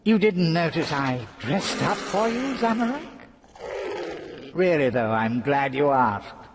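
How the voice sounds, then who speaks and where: Male game villain: higher-voiced, slow, savouring trickster schemer, mocking and theatrical, ambient noise behind. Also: sing-song, exaggerated voice. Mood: smug. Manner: taunting, theatrical, drawn-out sarcastic delivery. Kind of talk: video game cutscene villain acting.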